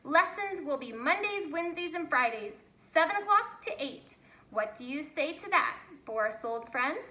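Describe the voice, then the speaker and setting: A woman's voice: high voice, animated, normal speed, bright, forceful tone. A woman voicing a kindly, confident male dancing bear in a children's storybook, reassuring and instructive toward a friend. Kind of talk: children's storybook character reading. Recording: roomy, echoey home recording.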